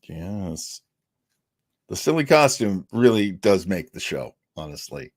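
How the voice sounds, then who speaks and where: A man's voice: deep voice, big pitch swings, slow pace. A man hosting a casual show, rambling, joking at his own expense and interrupting himself when distracted. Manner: jokey, self-deprecating. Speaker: host. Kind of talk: casual live show chat.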